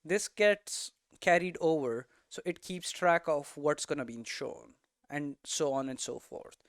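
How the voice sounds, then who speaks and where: A man's voice: on the high side, a little slow, no echo, studio quality. A man giving an informal lesson, thinking aloud conversationally and often checking in with tags like "right?". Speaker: game development streamer and teacher.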